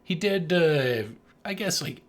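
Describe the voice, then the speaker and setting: A man's voice: on the high side, expressive, slow pace. A man co-hosting a podcast, chatting casually about a film in a slangy, joking, sweary way. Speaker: podcast co-host. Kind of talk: casual film review chat.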